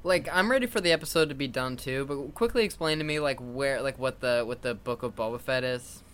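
A man's voice: mid-range voice, a little fast, a bit bright, a little hiss or hum. A man co-hosting a casual podcast, making wry jokes. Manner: wry, joking. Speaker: co-host.

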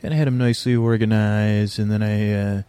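A man hosting a podcast, talking in a meandering, halting way and drifting into a slow, lulling bedtime monologue. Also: fairly low pitch, level tone, mellow, smooth, dry recording. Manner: meandering, halting, with restarts and filler words; slow drowsy lulling delivery. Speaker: podcast host.

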